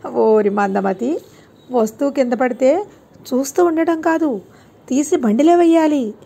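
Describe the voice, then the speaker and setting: A woman's voice: high-pitched, measured pace, creaky, warm tone, full character voice. A woman voicing a learned male guru who instructs and admonishes his disciple in a children's storybook tale. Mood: scolding. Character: learned male guru, patient but scolding teacher.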